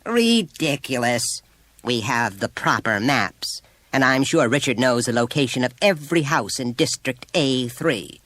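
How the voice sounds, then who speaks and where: A man's voice: medium pitch, wildly swooping pitch, a little slow, few pauses, sharp tone, voice pushed up, exaggerated voice. A man voicing a proud, formidable, well-bred mother with a haughty, clipped and indignant manner.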